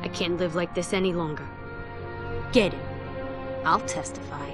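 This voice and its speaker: An actress playing a guilt-ridden but resolute vigilante in a video game, serious and terse. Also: female voice, lowish voice, normal speed, over music, lightly acted. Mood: remorseful, resolute.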